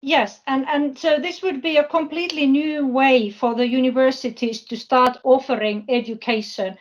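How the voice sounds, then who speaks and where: High-voiced woman presenting haltingly. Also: steady tone. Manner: halting, searching for words. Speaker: presenter, university project representative. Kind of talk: unscripted presentation at an online business forum. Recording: dull, lo-fi online call audio.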